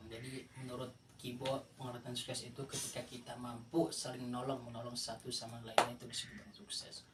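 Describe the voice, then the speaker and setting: Middle-aged podcast host with a Jakarta accent, chatty, quick to laugh; recorded far off the mic in an echoey room with clear background noise. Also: male voice, medium pitch, monotone. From Indonesia. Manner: laughs often, sums up the guest's answers. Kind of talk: casual chat.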